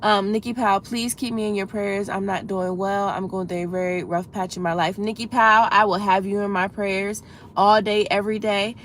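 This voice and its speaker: Woman chatting on a livestream, stuffy froggy voice from a cold, lots of "you know", faint rumble behind her. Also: steady tone, normal speed, fairly bright tone. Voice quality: slightly froggy voice. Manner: earnest, encouraging, talks straight to chat with many "you know"s. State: congested with a head cold. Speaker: livestream host. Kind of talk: casual livestream chat.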